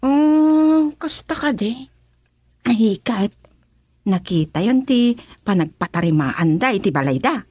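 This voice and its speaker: A woman's voice: on the high side, lively intonation, slow, darkish tone, no room sound. A woman playing a gossipy, slyly suspicious village woman in a comedic radio drama. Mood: curious.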